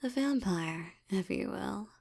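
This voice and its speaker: A woman playing a haughty, predatory female vampire in intimate audio roleplay, teasing and condescending, with a breathy murmur that slips toward a whisper. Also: mid-range voice, expressive, darkish tone, exaggerated voice. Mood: amused, indulgent. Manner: teasing, condescending, slow deliberate delivery.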